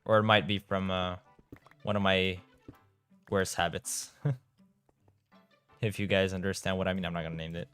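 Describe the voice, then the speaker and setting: A man's voice: low-pitched, little pitch movement, normal speed, pauses often, fairly bright tone, smooth, soft background music. A young man from Romania with a Romanian accent, chatting casually with his live-stream audience while playing and tripping over his words now and then. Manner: chatty, reads chat aloud, trips over his words. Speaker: game streamer.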